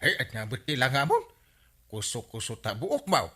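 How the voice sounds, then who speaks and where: An actor playing a mocking, teasing village husband in a radio comedy drama, exclaiming in an Ilocano accent. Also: male voice, medium pitch, huge pitch swings, normal speed, pushed and bright, exaggerated voice.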